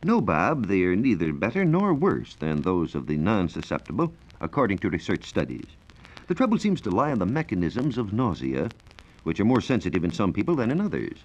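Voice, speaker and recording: A low-voiced American man explains science on 1940s radio. His pitch swings up and down a good deal as he speaks, while his delivery stays formal and measured. The old recording sounds dull and lo-fi. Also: wildly swooping pitch, darkish tone. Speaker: science reporter on a radio program. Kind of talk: 1940s radio educational talk, scripted explanation. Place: radio studio.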